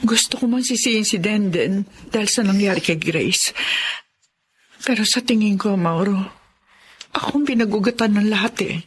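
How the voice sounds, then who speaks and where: A woman playing a remorseful older mother in a radio drama, speaking huskily in a heavy-hearted, halting way. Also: medium pitch, slight background noise, close to an everyday voice. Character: remorseful older mother blaming herself for family tragedy.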